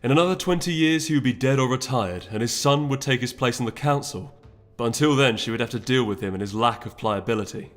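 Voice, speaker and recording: Man, scripted audiobook narration, fantasy fiction, medium pitch, music in the background. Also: quick pace.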